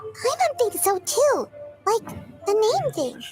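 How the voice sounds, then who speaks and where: Tiny fairy game companion: extremely high, childlike, lively and earnest, over prominent music. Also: female voice, slow, exaggerated voice. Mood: thoughtful. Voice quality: squeaky, childlike. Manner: exclamatory, refers to self in third person. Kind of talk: video game character dialogue.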